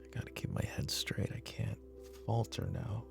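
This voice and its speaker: A man playing a weary, short-tempered mafia boss father in a roleplay audio drama, with a gravelly, husky voice and a commanding, curt manner. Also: lowish voice, moderate pace. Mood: tired, muttering. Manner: commanding, curt, slipping into hushed muttering. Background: background music.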